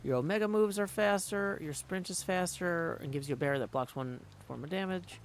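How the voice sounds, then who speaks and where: A man's voice: sits high, fairly quick, slight background noise. A man giving casual live gameplay commentary as a streamer, chatty and thinking aloud, sometimes singing little tunes to himself. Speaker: video game streamer. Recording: game music behind the voice. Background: video game music.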